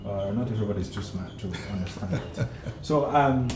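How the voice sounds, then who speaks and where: A man's voice: on the low side, a little fast. A man hosting a casual studio interview, pressing his guest with teasing, challenging questions. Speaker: talk show host. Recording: echoey studio with background noise.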